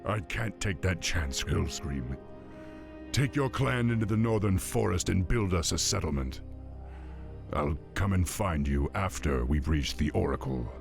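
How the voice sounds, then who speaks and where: A man's voice: low voice, animated, a little slow, vocal fry, over music, exaggerated voice. A man voicing a stern, resolute young orc warchief in a video game, firm and commanding. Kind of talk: video game cinematic acting.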